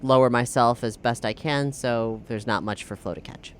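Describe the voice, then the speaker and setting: A woman playing a tabletop game, talking quickly and playfully and often laughing. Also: rumbling low, a little hiss or hum. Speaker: player. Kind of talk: casual roleplay chat.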